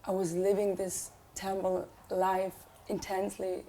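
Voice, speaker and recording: A young woman from Switzerland, chatting casually as a musician guest in an unhurried, hesitant way with many "um"s. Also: medium pitch, completely flat delivery, warm tone, a little hiss or hum. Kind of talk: casual conversation.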